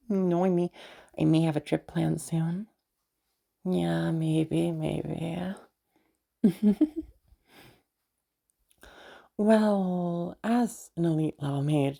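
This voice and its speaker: A woman acts out a young noblewoman mage in an intimate audio roleplay. Her voice is low and mellow, with a gravelly fry through it. She talks in halting, stammering bursts, flustered yet fondly protective of her knight. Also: heavily acted. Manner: halting, stammering, giggly, mild swearing.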